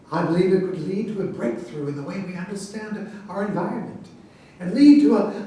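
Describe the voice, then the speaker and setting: A woman's voice: mid-range voice, darkish tone, lightly acted. A woman playing an opinionated, indignant research commander in a staged satirical reading, earnest and self-righteous. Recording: echoey room, distant mic, faint noise.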